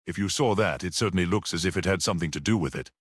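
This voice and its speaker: A man voicing a brisk, sceptical police detective in a mystery audiobook, businesslike and questioning. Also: deep voice, slightly rough, no room sound, studio quality.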